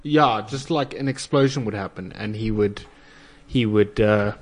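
A man's voice: lowish voice, a little slow. A man with a South African accent chatting casually as a talk show co-host, often searching for his words. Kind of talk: casual chat.

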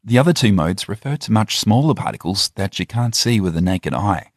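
Lively husky male news narrator. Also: lowish voice, brisk, no echo, studio quality. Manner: clear reporting tone, linking interview clips. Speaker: reporter narrating a report. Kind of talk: scripted narration.

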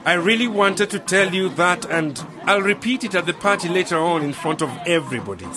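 High-voiced, sentimental village man. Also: lively intonation, brisk, over music. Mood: grateful, emotional. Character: grateful, sentimental man bidding farewell to village friends. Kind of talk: radio drama acting.

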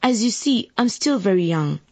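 Earnest young candidate, husky. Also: female voice, medium pitch, lively intonation, moderate pace, a little mellow, dry recording. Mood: earnest, principled. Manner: earnest, assertive, formally polite. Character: determined, idealistic young woman running for civic office. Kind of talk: radio drama acting.

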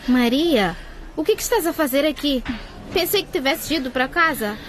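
A teenage exchange student in a radio drama. She is frank and decisive, in a somewhat high, direct voice. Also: expressive, moderate pace, fairly bright tone. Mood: concerned. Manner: direct, take-charge.

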